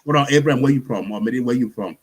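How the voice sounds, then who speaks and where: A man's voice: medium pitch, rapid-fire, husky. A man from Sudan speaking in a casual panel discussion, explaining at length and often checking in with "you see?". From South Sudan.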